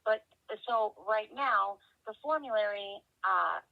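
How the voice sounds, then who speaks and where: A dull, muffled phone line carries a woman's creaky voice. She works as a help desk agent, talking through a customer's case in a halting way, thinking aloud as she checks details. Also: mid-range voice. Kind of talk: customer service phone call. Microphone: telephone. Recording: dull, band-limited telephone line.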